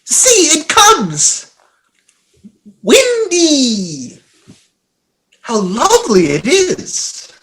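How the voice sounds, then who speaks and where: A woman playing an excited, wonderstruck young boy in an audio play, exclaiming in drawn-out phrases. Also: high voice, exaggerated voice.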